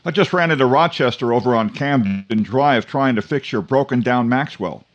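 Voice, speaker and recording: A man's voice: mid-range voice, moderate pace, muffled recording, lightly acted. A man playing a genial, obliging announcer sidekick in 1940s-style radio comedy. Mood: puzzled, genial. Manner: polite, obliging straight man.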